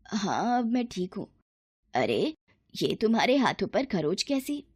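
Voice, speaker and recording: A woman performs a middle-aged mother-in-law from a cartoon moral tale. She is haughty and sharp-tongued, with a sarcastic, scolding manner. The recording is dry, and the pitch sits at a medium female level. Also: sing-song, unhurried, husky, no echo. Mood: weak, remorseful.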